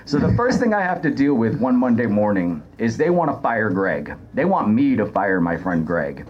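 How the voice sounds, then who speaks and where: A man's voice: fairly low pitch, very animated, moderate pace, lo-fi sound, a little hiss or hum. A man telling comic stories casually as a podcast guest, with a chuckling, stammering delivery.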